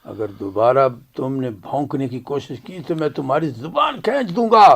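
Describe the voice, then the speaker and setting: A man's voice: a little high, lively intonation, moderate pace, vocal fry. A man playing a proud old Kashmiri father in a radio drama, grave and indignant in manner.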